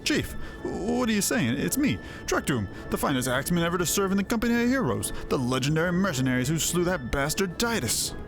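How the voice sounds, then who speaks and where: A man's voice: fairly high pitch, big pitch swings, fairly quick, gravelly, hardly any pauses. A man voicing a cowardly, boastful mercenary axeman in a fantasy game, with a stammering, pleading manner. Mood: flustered. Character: cowardly boastful hard-drinking axeman, a bumbling male mercenary. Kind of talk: voiced fantasy game dialogue. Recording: music under the voice.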